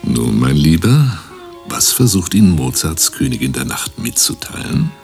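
Pompous radio-drama professor: extremely low voice, wildly swooping, grandiloquent delivery. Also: male voice, big pitch swings, normal speed, vocal fry, darkish tone, faint music behind, exaggerated voice. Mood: probing, pompous. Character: pompous, theatrical male professor, an insistent music-obsessed therapist. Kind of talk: German radio play acting.

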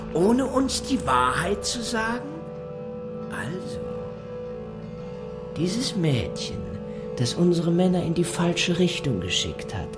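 A man playing a menacing, falsely courteous Gestapo interrogator in a radio play, speaking with slow, insinuating deliberation. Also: high-pitched, heavily acted. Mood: threatening. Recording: music under the voice.

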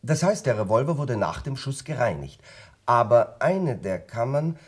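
A man playing a methodical middle-aged firearms expert in a German radio crime drama, speaking precisely and deliberately. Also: medium pitch, very animated, lightly acted. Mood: matter-of-fact.

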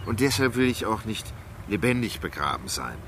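A man's voice: lowish voice, sing-song, normal speed, fairly bright tone, slight background noise. An actor playing a restless, brooding male writer who needles his friend, in naturalistic radio drama dialogue. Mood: brooding, searching.

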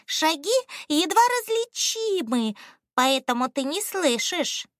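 Woman voicing a high, nervous, stubborn male ostrich. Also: big pitch swings, takes it slowly, fairly bright tone, no echo, clean studio sound, full character voice. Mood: nervous, insistent. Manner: urgent, emphatic, blunt. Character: nervous, stubborn wild ostrich creature, male, suspicious and self-important.

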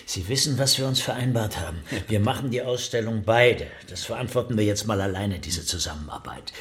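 An actor playing an eager, collegial museum curator in a naturalistic radio drama, conversational and persuasive. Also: male voice, lowish voice, fairly quick, a bit bright, close to an everyday voice.

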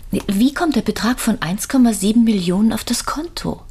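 An actress playing a reserved, polite, middle-aged widow in a radio crime drama, speaking in a restrained, terse way. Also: female voice, on the high side, lively intonation, moderate pace, darkish tone, husky. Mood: quiet, probing. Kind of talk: German radio drama acting.

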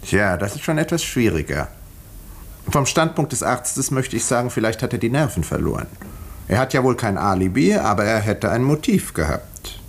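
An actor playing a composed, educated doctor in a 1950s German radio mystery, speaking in a measured, dry and precise way. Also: male voice, mid-range voice, very animated, breathy edge. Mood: thoughtful, reserved. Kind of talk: 1950s German radio drama narration and dialogue.

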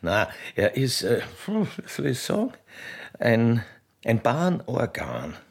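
A man's voice: medium pitch, huge pitch swings, pitched below the performer's own voice, heavily acted. A man voicing a rambling, evasive Austrian railway machinist who halts, mutters and trails off in a comic radio play. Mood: musing, chuckling. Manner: halting, muttering, trailing off with tag questions. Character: rambling, evasive rustic railway machinist, fiancé and small-time poacher. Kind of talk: satirical radio play performed solo.

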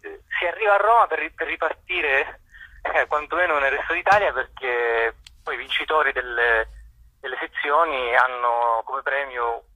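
A man giving unscripted, halting answers as a guest in a radio interview, searching for words. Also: medium pitch, pushed and bright, no room sound, low-quality recording. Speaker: theatre festival director, interview guest.

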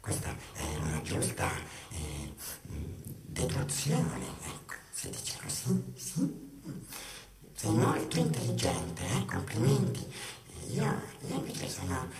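Simple-minded smitten suitor, wildly swooping pitch, hesitant repetitions, half-sung stage delivery, echoey. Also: male voice, huge pitch swings, gravelly, noisy background, full character voice. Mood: meek, hesitant. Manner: halting, repetitive, hesitant, childlike. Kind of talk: musical stage monologue, half-sung theatre acting. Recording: echoey, distant stage voice over loud music.

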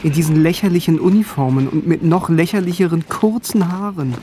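A man's voice: on the high side, a little fast, background noise, natural voice. A man playing a wry, bookish young man who narrates his youth in a radio drama, articulate and self-ironic. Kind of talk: scripted first-person radio drama narration. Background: music.

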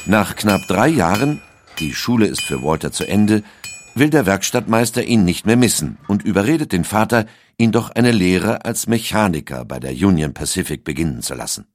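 A man narrating a documentary in a calm, measured storytelling manner. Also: low voice.

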